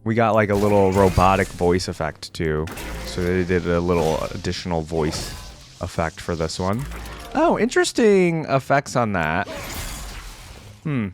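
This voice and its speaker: A man's voice: deep voice, lively intonation, a little slow, faint music behind. A man hosting a reaction video, giving informal commentary to viewers and thinking aloud as he goes.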